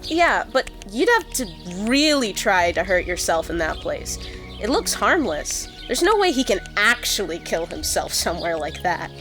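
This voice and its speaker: A woman's voice: mid-range voice, sing-song, moderate pace, over music, natural voice. An actress playing an earnest young woman in a radio drama, reassuring and mildly exasperated with friends. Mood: chiding. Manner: earnest, chiding.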